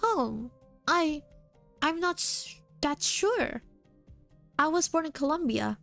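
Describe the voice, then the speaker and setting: A shy young mercenary girl who stumbles over her words and seldom finishes a thought, voiced by a woman reading a game story aloud. Her voice runs high and soft, with quiet game music underneath. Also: lively intonation, takes it slowly, creaky, heavily acted. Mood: unsure. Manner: hesitant, stammering.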